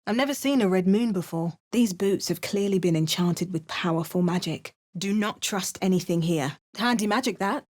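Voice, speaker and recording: A woman's voice: lowish voice, normal speed, few pauses, darkish tone, close to an everyday voice. A woman voicing a wry game adventurer. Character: female adventurer, wry and resolute. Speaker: player character. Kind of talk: video game voice lines and narration.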